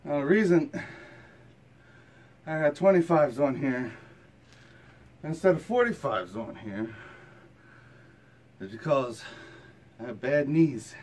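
A man's voice: fairly high pitch, animated, slow, pauses often, darkish tone. A man in his thirties, tired from exercise, talking casually and plainly to camera in a workout vlog. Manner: plain-spoken, colloquial, mild swearing. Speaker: fitness vlogger. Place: rooms at home and a home gym. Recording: noisy handheld camera audio with ambience.

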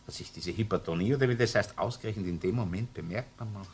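Gruff, probing Viennese detective for a radio crime drama male actor, lowish voice, informal and insistent, slightly noisy old recording. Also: fast, darkish tone, natural voice. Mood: doubtful, insistent. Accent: Viennese Austrian. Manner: questioning, colloquial, insistent. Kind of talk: German-language crime radio drama acting.

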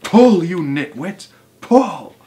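A man voicing a bossy, excitable monkey father who shouts urgent commands in a children's storybook. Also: medium pitch, moderate pace, close to an everyday voice. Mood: shouting, impatient. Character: bossy, frenzied monkey father leading a revenge trick.

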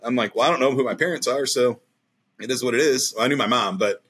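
A man's voice: mid-range voice, fast talker, fairly bright tone, a little hiss or hum. A man in his forties, a podcast guest, talking in a rambling, emphatic way over a video call from a room at home. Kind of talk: casual interview talk.

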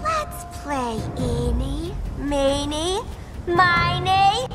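A woman's voice: high-pitched, big pitch swings, drawn-out words, full character voice. A woman playing a manic, playful clown villainess in a video game, sing-song, taunting and theatrical. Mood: gleeful menace. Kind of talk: video game character acting. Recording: loud music behind the voice. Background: music.

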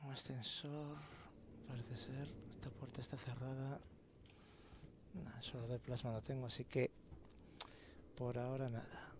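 A man's voice: medium pitch, flat delivery, a little slow, pauses often. A young man with a Spanish accent commenting on a horror game as he plays, swearing casually and dropping to a whisper while sneaking. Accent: Spain. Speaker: let's play host. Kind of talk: gameplay commentary. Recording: game ambience noise behind.

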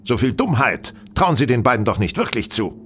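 A man's voice: mid-range voice, sing-song, brisk, fairly bright tone, slight background noise. An actor playing a shrewd, self-assured male detective in a German radio play, speaking with precise, theatrical courtesy. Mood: pointed.

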